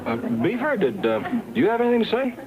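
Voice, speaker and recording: A man's voice: high-pitched, very animated, fast talker, a loud crowd. A man playing a mild, hesitant suburban father in a staged radio sitcom. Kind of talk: staged old-time radio sitcom re-creation. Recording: dull, noisy crowded live stage.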